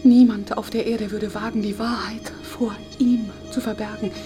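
A woman playing a devout, hushed loyalist. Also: medium pitch, fairly even intonation, moderate pace, music in the background, close to an everyday voice. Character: fervent, frightened woman loyal to the party chief. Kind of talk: radio drama acting.

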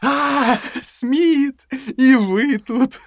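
An actor playing a jittery, ruminating intellectual prisoner in an audio drama, speaking in a halting, brooding way. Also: male voice, very high voice, gravelly, no echo, clean studio sound, exaggerated voice. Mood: nervous musing.

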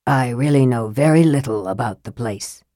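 A frail male mage played by a woman reader, low-pitched, soft and damaged in voice. He is irritable and sardonic, with the condescending bite of a storybook sorcerer, heard in a dry recording. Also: normal speed, dry recording. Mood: soft, knowing. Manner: cutting, condescending. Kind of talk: audiobook character voice.

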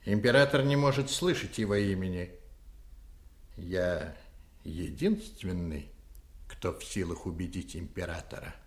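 A male actor playing a calculating, persuasive Jesuit priest with a measured, insinuating delivery in a historical radio drama. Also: sits low.

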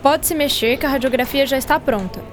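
A woman's voice: sits high, quick pace, over loud music, natural voice. A woman with a Brazilian accent playing a friendly, reassuring doctor treating a child in a radio drama. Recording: noisy ambience behind voice.

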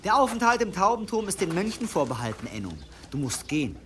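A stern monk in a radio drama. His high, expressive voice is cold and self-righteous, with faint pigeon ambience around it. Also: quick pace, background noise. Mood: cold, threatening. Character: cold, pious male monk guarding his dovecote. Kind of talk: German radio play acting.

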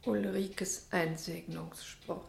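Slow-spoken, anxious pastor's wife. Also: female voice, lowish voice, fairly even intonation, vocal fry, a little mellow, exaggerated voice. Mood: worried, subdued. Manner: slow, subdued pleading. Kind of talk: German radio play acting.

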